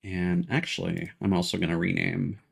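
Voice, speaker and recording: A man casually commentating on a livestream, thinking aloud in a rambling way with hesitant pauses. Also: low voice, little pitch movement, creaky, slightly rough. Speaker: game livestreamer.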